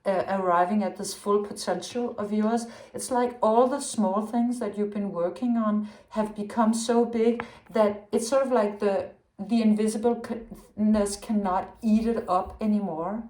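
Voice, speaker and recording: A woman's voice: mid-range voice, steady tone, a little slow, dark tone, reverberant, low-quality recording. Woman, rambling tarot reading. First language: Danish. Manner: rambling, searching for words with many restarts. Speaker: tarot reader. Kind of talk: intuitive tarot reading monologue.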